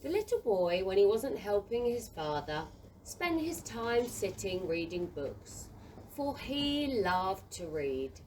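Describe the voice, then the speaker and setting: A woman narrates a children's story in a theatrical, scripted style. Her mid-pitched voice rises and falls widely. It is picked up from a little distance, with faint noise behind it. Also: sing-song, takes it slowly, darkish tone.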